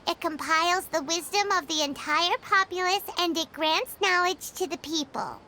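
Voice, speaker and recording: A woman voicing a tiny, childlike, excitable fairy companion with a squeaky voice in a video game. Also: normal speed, a little hiss or hum, heavily acted. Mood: earnest. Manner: speaks of self in third person. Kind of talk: English video game voice acting.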